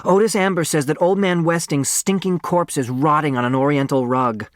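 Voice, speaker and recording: A high, snappy little girl, played by a man in an audiobook, loud and defiant. She blurts her words like a brat always close to a tantrum. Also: steady tone, normal speed, no echo, close to an everyday voice. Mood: excited. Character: bratty young girl, loud, defiant, tantrum-prone younger daughter.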